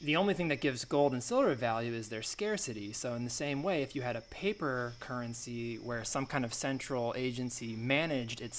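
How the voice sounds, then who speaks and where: A man improvising a naive, falsely modest amateur thinker in a comedy podcast, musing in a halting, hedging way. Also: medium pitch, a bit bright, slight background noise, lightly acted.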